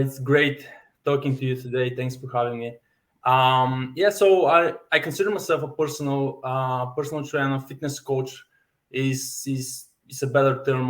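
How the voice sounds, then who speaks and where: Slow, deliberate Bulgarian-born man. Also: medium pitch, fairly bright tone. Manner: deliberate, many fillers and self-repeats. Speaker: fitness coach, podcast guest. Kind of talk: personal story in podcast interview.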